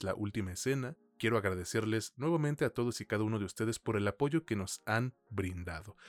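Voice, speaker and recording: A man's voice: lowish voice, dry recording. A man with a Mexican accent hosting a film review podcast in a colloquial, slangy way. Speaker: podcast host.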